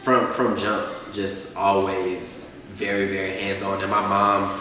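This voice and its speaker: A man in his twenties from Atlanta, talking in a relaxed, laid-back way as a rapper being interviewed, often laughing and filling with "you know". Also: sits low, unhurried. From Atlanta, USA. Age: 20s. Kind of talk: casual interview chat. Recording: echoey distant room sound with faint noise.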